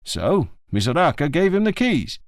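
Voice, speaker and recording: A man's voice: a little high, big pitch swings, moderate pace, no room sound, clean studio sound, voice pushed up. A man reading an audiobook, voicing a quarrelsome elderly greengrocer who swears and snaps back sarcastically. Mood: irritated. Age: older. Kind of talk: audiobook character voice.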